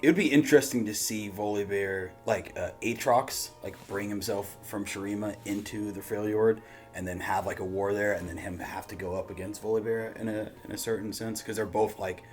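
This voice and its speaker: A man's voice: lowish voice, fairly even intonation, fairly quick, soft background music. A man giving casual reaction commentary as a host, thinking aloud in loose slang peppered with swearing.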